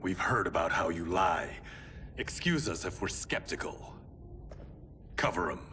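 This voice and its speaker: Low-voiced commanding warrior hero, expressive, loud score behind. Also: male voice, measured pace, slight background noise, exaggerated voice. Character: earnest male warrior hero, protective leader. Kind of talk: video game cutscene acting. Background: loud orchestral game score.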